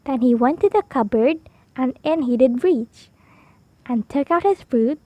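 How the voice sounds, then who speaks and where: A woman reading a rhyming children's story aloud in an expressive, rhythmic storytime style. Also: high voice, unhurried, no echo.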